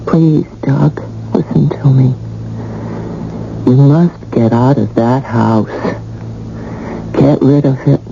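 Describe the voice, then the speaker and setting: An actress playing a dying young wife in a radio drama, faint, halting and pleading. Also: female voice, very deep voice, fairly even intonation, vocal fry, heavily acted. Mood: weak, pleading. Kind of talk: 1980 radio drama acting. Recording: dull, noisy old radio broadcast recording.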